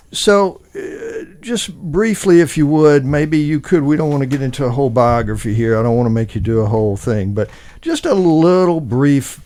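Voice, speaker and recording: A man's voice: medium pitch, darkish tone, clean tone. A man hosting a local talk show, easygoing and affirming, often repeating his words. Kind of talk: casual interview hosting.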